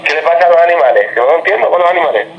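A man's voice: a little high, expressive, rapid-fire, creaky, soft background music. A man answering an unexpected phone call in conversation, puzzled, repeating himself and asking questions. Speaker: prank call recipient.

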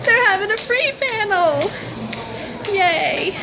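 A young woman chatting casually to her phone as she vlogs indoors, in a halting, rambling way that often repeats itself. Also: extremely high pitch, expressive, music in the background. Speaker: convention vlogger. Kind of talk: casual handheld vlog chat. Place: hotel corridors, elevator and lobby, indoors. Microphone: phone at arm's length. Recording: noisy handheld phone recording.

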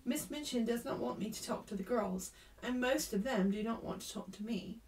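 A proud, sensitive schoolgirl in a storybook acted out by a woman narrator. Her voice is fairly high, and she talks earnestly and with guarded hurt. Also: normal speed, a little mellow, recorded from a distance, natural voice, poor audio. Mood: earnest, explaining.

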